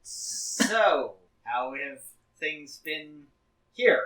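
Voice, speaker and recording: A man's voice: on the high side, lively intonation, very slow, fairly bright tone. A man playing a tabletop role-playing game, narrating his character in formal, hesitant, wry phrasing. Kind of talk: narrating and voicing own character in role-play. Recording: slightly distant mic.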